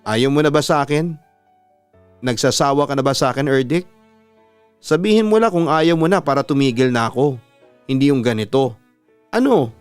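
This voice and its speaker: A man's voice: mid-range voice, expressive, moderate pace, pauses often, smooth, dry recording, faint music behind, lightly acted. A man voicing a heartbroken young woman in a dramatic story, bitter, pleading and accusing. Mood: hurt, sarcastic.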